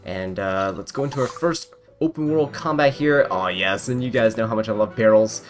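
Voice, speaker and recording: A man's voice: on the low side, moderate pace. A man giving casual, upbeat commentary on a video game, reacting aloud as he plays. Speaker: gaming content creator. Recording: game music behind voice. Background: video game music.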